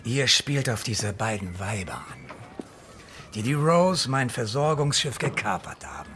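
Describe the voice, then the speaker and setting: An actor playing a pompous, vengeful colonial governor in a German radio drama, grandiose and gravelly, savouring his words. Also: medium pitch, very animated, measured pace, a bit bright, a little hiss or hum. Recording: faint music behind.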